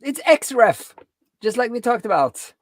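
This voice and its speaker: A woman's voice: a little high, big pitch swings, unhurried, no room sound, clean studio sound. A woman co-hosting a coding live stream with casual chat and short asides.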